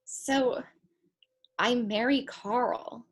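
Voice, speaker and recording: A woman's voice: a little high, fairly even intonation, drawn-out words, full character voice. A woman playing a bewildered, skeptical young woman meeting her future child in a table read, giving short, incredulous replies. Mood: skeptical, puzzled. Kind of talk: virtual table read of a play. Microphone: video call.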